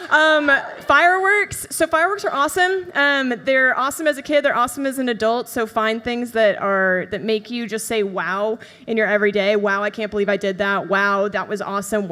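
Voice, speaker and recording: Playful woman giving a goofy talk, fairly high voice, hall echo. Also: a little fast, a bit bright. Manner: playful and upbeat, laughing through. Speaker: presenter at a live talk night.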